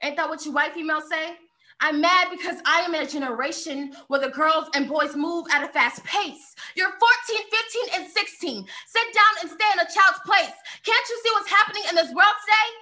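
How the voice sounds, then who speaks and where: A woman's voice: extremely high pitch, moderate pace, sharp tone. A young American woman performing slam poetry in an emphatic, declamatory way with repeated refrains. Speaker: spoken-word poet.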